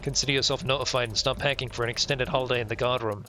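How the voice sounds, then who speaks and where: A man's voice: medium pitch, fairly even intonation, rapid-fire, sharp tone, lightly acted. A man voicing an irate, curt police captain from a visual novel, music behind him. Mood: angry, dismissive. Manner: curt, hostile, swearing. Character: irate, foul-mouthed police captain woken at night.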